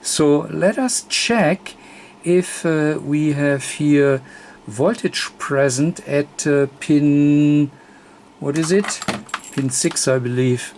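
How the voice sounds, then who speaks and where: A man giving an unscripted technical demonstration, thinking aloud in a halting way with many long hesitations. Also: on the high side, darkish tone, a little hiss or hum. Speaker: electronics repair host.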